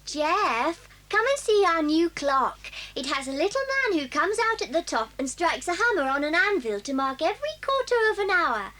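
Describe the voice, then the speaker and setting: A woman's voice: high-pitched, sing-song, moderate pace, hardly any pauses, bright, forceful tone, exaggerated voice. A woman voicing a sly, mischievous young girl in a radio play, coaxing and playful.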